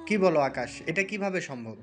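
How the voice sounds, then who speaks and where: A man playing a concerned, questioning senior navy officer at headquarters in an audio drama, with a brisk official manner. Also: fairly high pitch, lively intonation, lightly acted. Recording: music bed under the voice.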